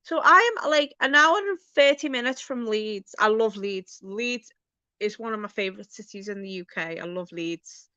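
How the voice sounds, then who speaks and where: A woman's voice: mid-range voice, moderate pace, pushed and bright, clean tone, dry recording, highs cut off. A woman with a Liverpool accent chatting casually to her viewers as a channel host, informal and sweary, addressing them by name. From Liverpool, England. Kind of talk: casual livestream chat with viewers.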